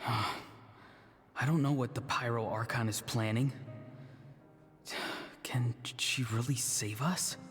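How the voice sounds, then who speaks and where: A man's voice: medium pitch, close to an everyday voice. Man playing an earnest young spellcaster in a reverberant space. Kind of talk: dramatic video game voice acting.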